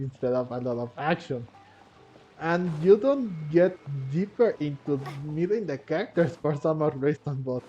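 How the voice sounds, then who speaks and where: A man's voice: on the high side, warm tone. A man giving casual gameplay commentary, hesitant and rambling, often repeating his words, over game music. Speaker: gamer commenting on play. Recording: muffled audio, faint game music. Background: faint game music.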